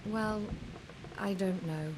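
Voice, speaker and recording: An actress playing a young, pious noblewoman in a medieval video game, speaking with measured sympathy. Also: medium pitch, background noise, natural voice. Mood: reserved. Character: gentle, pious medieval noblewoman married to an older lord. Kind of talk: dramatic game cutscene acting.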